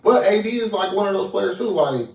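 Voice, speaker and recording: A man's voice: sits high, animated, brisk, vocal fry, a little mellow. A man co-hosting a casual podcast, laid-back and slangy, drawing out his points among friends. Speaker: podcast co-host. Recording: roomy, distant mic.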